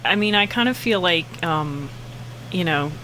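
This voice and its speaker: Bright, dry-humoured American woman co-hosting. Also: fairly low pitch, lively intonation, moderate pace, a little hiss or hum, poor audio. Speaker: radio show co-host. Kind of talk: casual comic banter.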